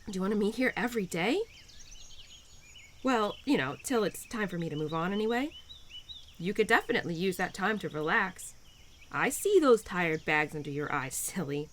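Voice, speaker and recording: A woman's voice: medium pitch, moderate pace, a little hiss or hum, lightly acted. Woman acting a bubbly, lilting spring spirit. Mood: caring, chatty. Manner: bubbly, affectionate, gently teasing, expressive. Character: cheerful, playful, nurturing young female spirit of spring. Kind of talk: roleplay audio drama addressed to the listener.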